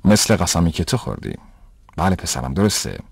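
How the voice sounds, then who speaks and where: A man playing a patient, pious father who explains rules in a measured, didactic way in an educational cartoon. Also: low-pitched, big pitch swings, vocal fry, slight background noise, exaggerated voice. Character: patient, pious father teaching his son religious rules. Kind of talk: dubbed educational cartoon dialogue.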